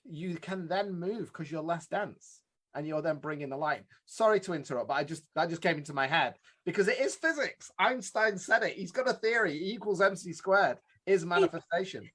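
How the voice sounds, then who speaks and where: A man hosting a podcast, talking in an earnest, quick way and cutting in with his own thoughts. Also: high voice, animated, a bit bright. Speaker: podcast host. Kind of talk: podcast interview.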